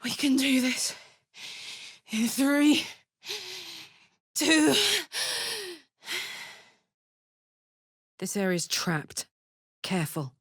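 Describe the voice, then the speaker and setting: A woman voicing a brash, warm-hearted tiefling warrior in a video game, with blunt, earthy soldierly talk. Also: sits high, sing-song, slow, slightly rough, no room sound, clean studio sound, full character voice. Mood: focused. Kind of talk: video game character voice acting.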